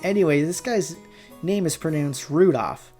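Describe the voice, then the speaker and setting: A man's voice: a little high, measured pace, darkish tone. A man reading a visual novel's narration aloud, breaking off for casual reactions, with music behind him. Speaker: amateur visual novel reader and player.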